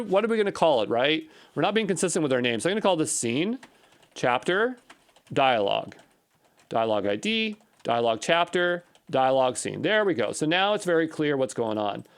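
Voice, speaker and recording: Guy talking thru his code as he works, mid-pitched voice, kinda unsure, keeps asking himself questions. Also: smooth. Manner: tentative, musing, questioning himself. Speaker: programming instructor. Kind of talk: unscripted coding walkthrough, thinking aloud.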